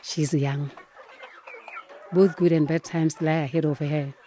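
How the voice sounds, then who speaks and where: A woman's voice: low voice, fairly even intonation, measured pace, warm tone, slight background noise. A woman with a southern African accent playing a patient, reassuring elderly grandmother in a radio drama. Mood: gentle wisdom.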